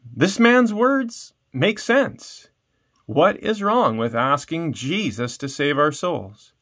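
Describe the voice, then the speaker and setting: Storybook Roman emperor, male, done by a man reader: proud, stern, quick-tempered, commanding, emphatic. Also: fairly high pitch, big pitch swings, fairly bright tone, pitched above the performer's own voice, full character voice. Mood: loud realisation. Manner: commanding, drawn-out, emphatic delivery.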